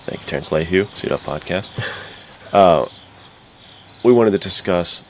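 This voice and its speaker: A man with a very deep voice talks like an excited podcast co-host. His delivery is casual, slangy and bro-ish. Some noise sits behind him. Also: unhurried. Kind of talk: casual enthusiastic podcast chat.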